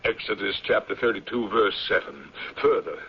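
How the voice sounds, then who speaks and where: Male actor doing stern old captain type, deep rough voice, ominous n slow to rile, muffled old radio show. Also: expressive, slight background noise, natural voice. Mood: stern, threatening. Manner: grave, deliberate, ominous, scripture-quoting. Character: stern, commanding old patriarch, captain of a secluded mountain community. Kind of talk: old-time radio drama acting. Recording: dull vintage radio broadcast.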